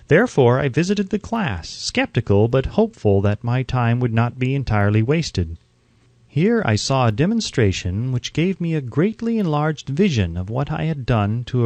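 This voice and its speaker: A man reading an audiobook from a script in a measured, formal, earnest way, close to the microphone. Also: medium pitch, darkish tone, no room sound. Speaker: audiobook narrator.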